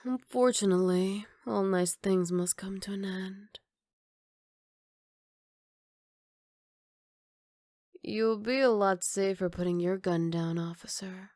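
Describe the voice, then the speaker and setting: A woman's voice: mid-range voice, moderate pace, warm tone, dry recording, natural voice. Woman playing a flirty, cocky villainess, close and dry. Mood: cool, confident. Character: flirty, cocky female villain teasing a rookie officer. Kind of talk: ASMR roleplay.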